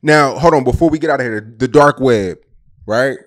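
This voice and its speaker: Male interviewer, medium pitch, informal and slangy, putting questions to a guest. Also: moderate pace, a bit bright.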